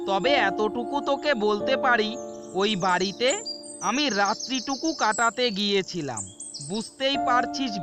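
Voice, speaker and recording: Horror cartoon lead: man as shaken young male survivor, high voice, earnest dramatic storytelling, loud music underneath. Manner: earnest, dramatic, halting repetitions. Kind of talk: first-person horror cartoon narration and dialogue.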